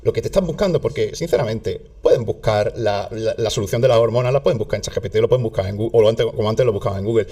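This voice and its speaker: A man with a southern Spanish accent, a guest in a casual interview, talking in an animated way with many restarts. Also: lowish voice, rapid-fire, hardly any pauses. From southern Spain. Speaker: health content creator guest.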